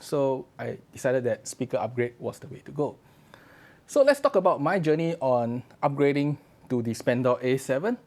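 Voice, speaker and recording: A man's voice: mid-range voice, a little mellow. A man reviewing audio gear in a casual, conversational way. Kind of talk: casual spoken product review to camera.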